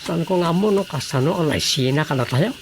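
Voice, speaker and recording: Anxious soldier, highish voice. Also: male voice, expressive, brisk, a little hiss or hum. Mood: troubled. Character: anxious, loyal army lieutenant in the field. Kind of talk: radio drama acting.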